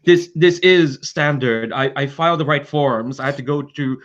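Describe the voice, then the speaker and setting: A man's voice: medium pitch, moderate pace, voice pushed up, natural voice. A man puts on an anxious official in a tabletop game, defensive and pleading. He stutters through his sentences like a bureaucrat afraid he has been caught out, in slightly muffled lo-fi sound. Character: nervous, pleading male official clinging to paperwork.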